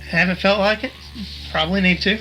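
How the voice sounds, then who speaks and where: An anxious, supportive sidekick played by a man in an audio drama. He talks quickly in a bright voice, casual but hesitant, over faint music. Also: high-pitched, fairly even intonation, rough recording. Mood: uneasy, casual.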